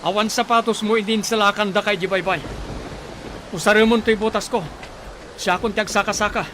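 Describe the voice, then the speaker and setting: A man's voice: sits high, fairly even intonation, brisk, sharp tone. An actor playing an urgent, protective man giving instructions in a Philippine radio drama. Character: urgent, protective man leading companions through a typhoon. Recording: clearly noisy, storm sound effects.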